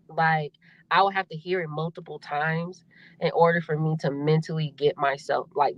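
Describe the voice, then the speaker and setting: A young woman with a low, deep voice talks through a dull, slightly lo-fi recording. She is a podcast guest chatting casually, often laughing and doubling back over her words. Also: fairly bright tone, dry recording. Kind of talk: casual interview chat.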